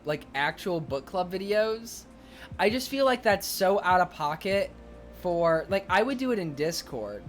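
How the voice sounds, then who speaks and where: A young man streaming, chatting casually and excitably with viewers, rambling with lots of fillers. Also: fairly high pitch. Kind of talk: casual chat reading viewer comments.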